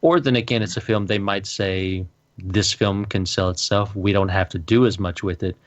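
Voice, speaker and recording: A man's voice: low-pitched, fairly even intonation, normal speed. A man co-hosting a podcast, talking casually in a discussion. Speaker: podcast co-host. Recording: dull lo-fi voice mic.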